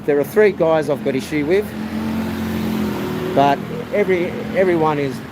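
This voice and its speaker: A man live-streaming as he walks, chatting loosely to viewers in a loud, projecting voice. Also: a little high, normal speed. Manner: chatty, rambling, addresses viewers as guys. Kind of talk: casual walking live stream. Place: outdoors on a busy rural roadside. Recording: outdoor stream with loud traffic noise. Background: loud traffic and motorbike noise.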